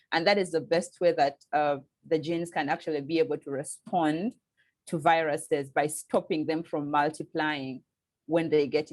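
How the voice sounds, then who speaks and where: Young Ugandan woman, low pitch, earnest interviewee, tight dry recording. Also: a little mellow, dry recording. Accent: Ugandan English. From eastern Uganda. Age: young adult. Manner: earnest, frequent restarts and tag "okay?". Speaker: PhD student. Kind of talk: interview answers.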